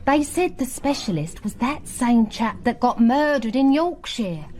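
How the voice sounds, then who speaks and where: A woman's voice: fairly high pitch, big pitch swings, measured pace, darkish tone. An actress playing a gossipy, chirpy young English working woman in a radio drama, chatty and confiding. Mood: gleeful gossip.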